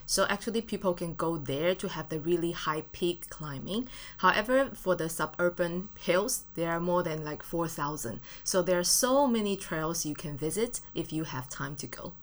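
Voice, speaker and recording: Woman, low voice, English, relaxed guest in studio. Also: moderate pace. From Taiwan. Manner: friendly, casual, uses fillers and non-native grammar. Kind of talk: studio interview answers. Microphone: studio mic.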